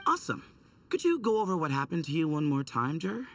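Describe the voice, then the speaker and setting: An actor playing an easygoing, friendly young forest researcher in a video game, casual and teasing. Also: male voice, a little high, big pitch swings, brisk, a bit bright, far from the mic, exaggerated voice. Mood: upbeat, persuasive.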